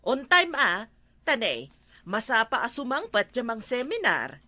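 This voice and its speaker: A woman acting as a village coordinator in a comedy drama, brisk and strict, with an Ilocano accent. Her voice is bright and animated as she gives insistent instructions. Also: fairly high pitch, no echo, exaggerated voice.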